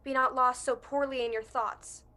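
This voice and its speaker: A woman's voice: high voice, fairly even intonation, normal speed, pushed and bright. A young woman playing a steely, scornful noblewoman who commands her partner in murder, in emphatic amateur Shakespearean verse. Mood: brisk, controlled. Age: young adult. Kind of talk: amateur student Shakespeare performance.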